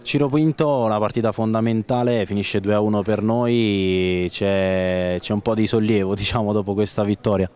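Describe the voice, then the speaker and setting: A man interviewing players after a match, asking leading questions with pauses and fillers. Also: lowish voice, fairly even intonation, darkish tone, clean tone. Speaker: sideline interviewer.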